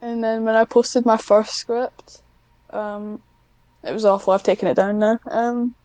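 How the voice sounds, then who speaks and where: Soft-toned, high-pitched woman, even delivery. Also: a little slow, smooth, dry recording. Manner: hesitant, self-deprecating. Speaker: podcast guest, amateur scriptwriter. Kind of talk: casual interview chat.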